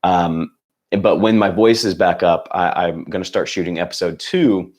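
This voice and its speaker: A young man hosting a casual livestream, hoarse and close to losing his voice, talking in an upbeat, rambling way with lots of fillers and restarts. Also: deep voice, moderate pace, darkish tone. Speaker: channel host.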